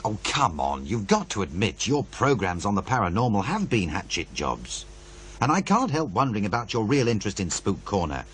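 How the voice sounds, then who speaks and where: An actor playing a wry, needling radio producer who muses and insinuates in radio drama dialogue. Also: male voice, fairly low pitch, very animated, a little fast, a bit bright, breathy edge, full character voice. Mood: wry, cautioning.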